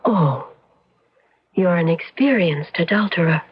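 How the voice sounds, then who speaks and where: A woman's voice: fairly low pitch, lively intonation, measured pace, breathy edge, exaggerated voice. Sly, seductive mystery woman, muffled radio. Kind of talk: old-time radio drama acting. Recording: dull, noisy old radio recording.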